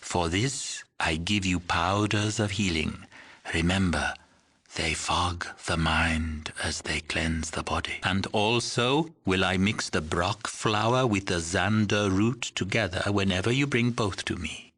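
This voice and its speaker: Slow, low-voiced old mystic shaman. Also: fairly even intonation, fairly bright tone. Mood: solemn, benevolent. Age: older. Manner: slow, ceremonious, cryptic, archaic phrasing. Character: frail old village shaman, mystical, solemn and riddling. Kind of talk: scripted video game voice acting.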